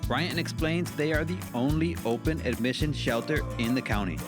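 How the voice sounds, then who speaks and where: A man's voice: mid-range voice, normal speed, music in the background. A man narrating a scripted TV news feature as a presenter.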